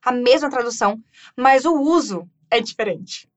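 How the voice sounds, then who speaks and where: Bright-voiced Brazilian woman teacher. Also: a little high, normal speed. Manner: lively, chatty, laughs often. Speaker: English teacher, host. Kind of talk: casual bilingual chat.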